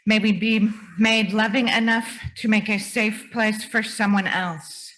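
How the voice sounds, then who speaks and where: A woman closing a church service with a gentle, calm blessing. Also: mid-range voice, unhurried, poor audio. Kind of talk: closing benediction. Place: church sanctuary.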